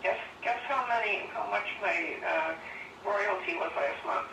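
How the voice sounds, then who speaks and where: An older woman chatting casually and haltingly on a phone call, rambling as she goes. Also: mid-range voice, vocal fry. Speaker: phone interviewee, former resident of the house. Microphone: phone call played over speaker. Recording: distant noisy phone speaker, echoey.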